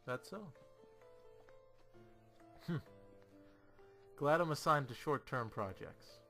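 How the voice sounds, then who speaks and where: A man playing a composed, faintly smug superior who teases his trainee in a visual novel dub, speaking in a measured way. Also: fairly high pitch, expressive, pushed and bright, full character voice. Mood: calm explaining. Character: unhurried, smug male superior, teasing mentor. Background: music.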